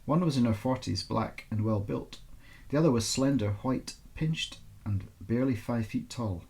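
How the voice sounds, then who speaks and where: Male author-narrator, mid-pitched with a noticeable fry in the voice, for informal, expressive book readings. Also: moderate pace. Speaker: author reading own novel. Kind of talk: informal introduction, then expressive audiobook-style narration.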